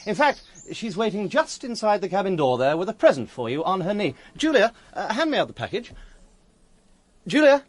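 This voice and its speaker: Radio drama villain: manic male fugitive, high-pitched, theatrical bluster, huge swings in tone. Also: moderate pace, no room sound. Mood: breezy, boastful. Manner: excitable, theatrical, swinging between bluster and chuckles. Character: manic, boastful unhinged man on the run, named Malcolm.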